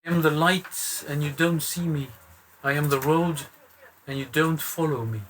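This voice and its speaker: A man reciting a verse in a deliberate way. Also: fairly high pitch, steady tone, fairly bright tone, a little hiss or hum, low-quality recording.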